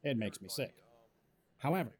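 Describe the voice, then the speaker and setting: A man's voice: medium pitch, lively intonation, normal speed, darkish tone, husky. Man acting a sardonic, contemptuous male speechmaker. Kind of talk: scripted audio drama monologue.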